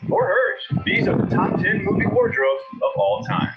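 A man's voice: high voice, huge pitch swings, normal speed, dull audio, very noisy. An American man narrating a scripted countdown video in a punchy, opinionated, irreverent manner.

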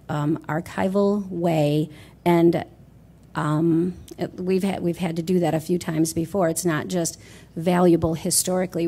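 A woman on a conference panel explaining her department's practices in a measured way. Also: on the low side, dark tone. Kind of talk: panel discussion remarks.

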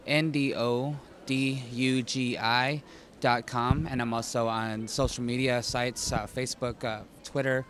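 A man from Arizona introducing his evening wear line at a press junket, halting with many fillers. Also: medium pitch, flat delivery, fairly bright tone, slight background noise. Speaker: fashion designer. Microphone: handheld mic.

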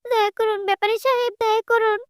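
A desperate, poor village wife in a cartoon, pleading and wailing. Her voice is extremely high and sounds close and dry. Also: flat delivery, quick pace, dry recording. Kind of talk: cartoon voice acting.

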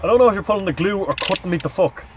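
Casual vlogger, male, thirties, excitable and sweary, very high-pitched voice, noisy in-van recording. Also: racing. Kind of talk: casual bantering vlog chat. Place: inside a parked camper van cab, door open. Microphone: phone or camera at arm's length. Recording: noisy handheld recording in a vehicle.

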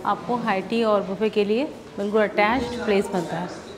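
A woman's voice: medium pitch, steady tone, normal speed, a crowd in the background. A woman vlogger hosting a hotel tour, chatty and inviting, pointing things out to viewers. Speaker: travel vlogger host. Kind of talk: hotel tour vlog narration. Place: hotel interior and restaurant.

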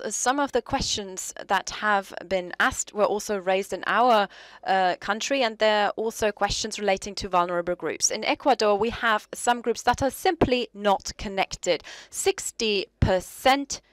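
A woman gives simultaneous interpretation in a measured way with frequent pauses. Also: medium pitch, dry recording.

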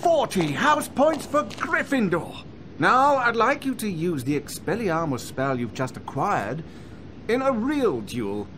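An actor playing a flamboyant wizard duelling teacher in a video game, theatrical and announcing. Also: male voice, high voice, sing-song, measured pace, a bit bright, a little hiss or hum, heavily acted. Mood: showy, encouraging. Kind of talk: video game cutscene acting.

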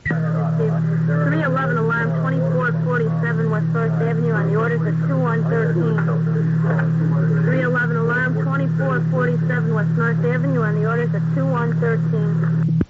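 A man's voice: very high-pitched, steady tone, normal speed. A man working as a fire department dispatcher, giving terse dispatch calls over two-way radio on an old scanner tape. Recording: phone-like 1980s radio scanner tape, heavy noise.

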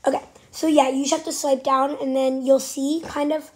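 A woman's voice: high voice, moderate pace. Chatty young-sounding woman with a cold. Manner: chatty, excitable, trailing off into whispers. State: has a cold. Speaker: gaming channel host. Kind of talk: casual gameplay commentary. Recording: faint background noise.

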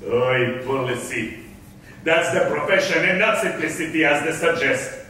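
A man's voice: fairly high pitch, a little slow, bright, forceful tone, slight background noise. A man playing a wronged, defiant rich merchant in a staged reading of old verse, declaiming with passion. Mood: lamenting, cursing. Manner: declamatory, impassioned. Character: wronged, bitter, defiant wealthy merchant of Malta. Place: theatre stage in a hall. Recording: echoey stage, distant microphone.

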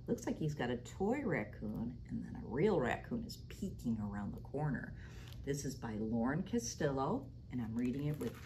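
A middle-aged woman reading a picture book aloud to children as a library storytime host, animated and sing-song, now and then dropping to a stage whisper. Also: deep voice, a little hiss or hum. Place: small library room, indoors. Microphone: lapel mic.

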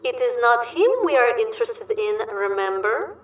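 A woman's voice: fairly high pitch, expressive, normal speed, narrow, tinny audio, natural voice. A woman playing a sly, flirtatious ghost spy in an audio drama, with a Russian accent and stilted English. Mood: cool, commanding. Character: seductive, confident Russian female spy ghost. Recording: roomy, distant, faint noise.

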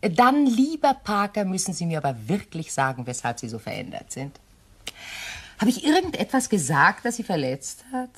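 Low-voiced, coolly composed widow. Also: female voice, very animated, slightly rough. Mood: smooth, pointed. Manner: measured, pointed, courteous. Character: composed, shrewd widow, quietly menacing beneath courtesy. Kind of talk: German crime radio play acting.

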